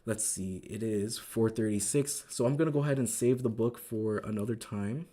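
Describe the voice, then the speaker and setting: A man hosting a trading live stream, recapping the market in casual, upbeat talk that keeps asking "right?", his voice growing a little raspy. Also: on the low side, normal speed, dark tone. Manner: enthusiastic, repeats words, asks "right?" often. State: voice getting raspy.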